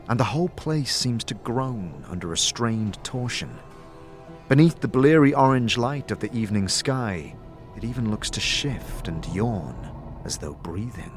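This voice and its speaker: A man reading dramatic, scripted second-person narration of a fantasy story. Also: lowish voice. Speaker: narrator and game master. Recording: music under the voice. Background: background music.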